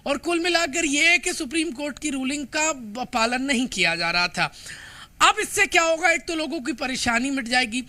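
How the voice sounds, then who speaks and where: A man's voice: very high voice, animated, moderate pace, sharp tone. A man with an Indian accent giving an emphatic, opinionated live TV report. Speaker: news reporter.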